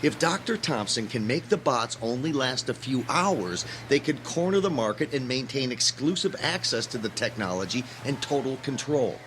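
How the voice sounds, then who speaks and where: A man's voice: mid-range voice, expressive, normal speed, hardly any pauses, fairly bright tone, lightly acted. Earnest young activist student, played by a man, faint music. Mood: righteous. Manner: earnest, preachy, intense. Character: earnest, idealistic young male graduate student activist, agitated. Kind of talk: audio drama acting.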